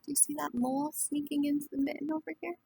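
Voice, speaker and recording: A woman reading a picture book aloud as a teacher of young children, pausing for simple explanations and questions to the listeners. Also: high-pitched, fairly even intonation, vocal fry, dark tone, no room sound.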